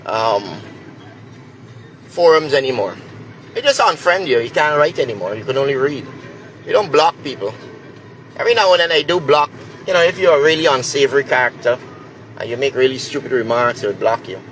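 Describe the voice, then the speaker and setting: A man's voice: mid-range voice, lively intonation, fairly quick, pauses often, a bit bright, faint music behind, low-quality recording. A man ranting casually, blunt and boastful. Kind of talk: casual rant.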